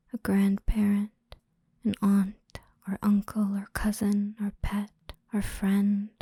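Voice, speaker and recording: A woman guiding a hypnosis relaxation in a soothing, gentle, breathy whisper, unhurried and with frequent pauses, close to the microphone. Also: mid-range voice, no echo. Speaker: hypnosis guide. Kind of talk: whispered ASMR guided relaxation storytelling.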